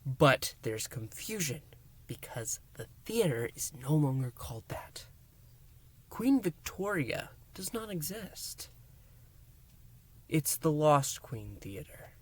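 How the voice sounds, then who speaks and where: A man hosting a review, recapping a story in a theatrical, emphatic way with dramatic pauses and hushed words. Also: medium pitch. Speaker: podcast review host.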